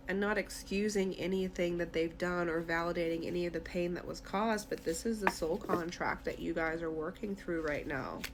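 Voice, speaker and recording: A woman's voice: on the low side, a bit bright, slight background noise. A woman tarot reader speaking directly to the listener in a conversational, reassuring, therapy-minded way during an intuitive reading.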